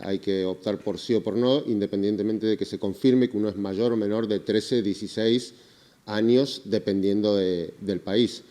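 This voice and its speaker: A man moderating a panel, giving measured spoken remarks. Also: fairly low pitch, dark tone.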